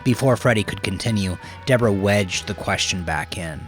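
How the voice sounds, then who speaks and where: Low-pitched man narrating crime fiction over music. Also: normal speed. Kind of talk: scripted dramatic audiobook narration.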